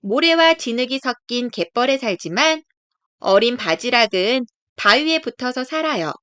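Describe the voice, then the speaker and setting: A woman reading a children's nature picture book aloud, explaining gently to young listeners. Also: on the high side, animated, moderate pace, smooth, no room sound.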